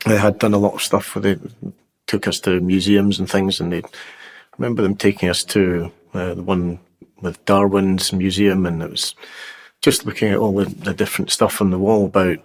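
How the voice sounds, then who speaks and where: A man in his fifties telling his life story in an interview, unhurried and halting, with plenty of fillers and restarts. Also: sits low, level tone. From Scotland. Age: 50s. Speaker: guest sharing his testimony. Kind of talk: personal testimony interview.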